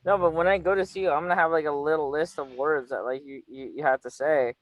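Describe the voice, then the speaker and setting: Guy from california, kinda high voice, dry close mic, rambling and cussing a lot, teasing his guest. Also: steady tone, fast talker, no echo, rough recording. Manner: rambling, crude, lots of fillers, teasing. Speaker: channel host. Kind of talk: casual flirty video-call chat.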